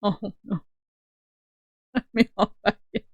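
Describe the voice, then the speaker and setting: Soft-spoken giggly woman, dry close sound. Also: slow pace, dry recording, highs cut off. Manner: giggly, laughs often, speaks softly. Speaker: streamer. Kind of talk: casual livestream chat on a call.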